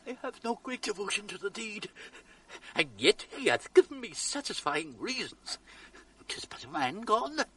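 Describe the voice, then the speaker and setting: An actor playing a nervous, foolish young male gentleman in a radio drama, talking himself into a deed. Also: high voice, very animated, moderate pace, distant mic, slight background noise. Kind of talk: 1980s radio drama acting.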